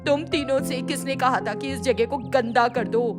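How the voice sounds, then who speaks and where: A woman's voice: high voice, moderate pace, close to an everyday voice. A woman voicing a strict, indignant middle-aged mother-in-law who scolds and exclaims in a storybook tale. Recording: music behind the voice.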